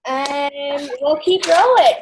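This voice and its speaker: A woman public speaking teacher with a very high-pitched voice, casually reassuring her students over a video call. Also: takes it slowly, darkish tone, a little hiss or hum. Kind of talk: casual encouragement.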